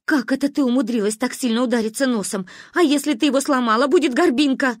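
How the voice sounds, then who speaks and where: A woman's voice: a little high, quick pace, a little mellow, no echo, studio quality, natural voice. A woman voicing a flustered, exasperated mother in a story, anxious and scolding with heavy emphasis. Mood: alarmed.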